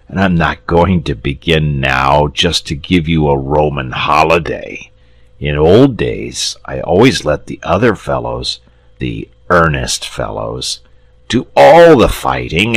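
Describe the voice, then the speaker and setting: A man's voice: rumbling low, dry recording, exaggerated voice. A man doing a lazy, timid storybook dragon. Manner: slow, drawling and polite. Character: lazy, gentle, cowardly male dragon.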